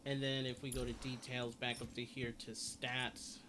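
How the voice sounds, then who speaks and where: A man's voice: mid-range voice, flat delivery, moderate pace. A man giving a casual, rambling video game guide, often repeating and rephrasing himself. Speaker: gaming video creator. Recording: noticeable background noise.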